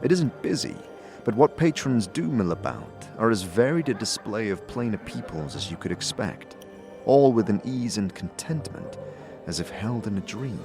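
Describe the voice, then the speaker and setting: Low-voiced man narrating over music. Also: sing-song. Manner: measured and descriptive. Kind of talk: second-person scripted narration.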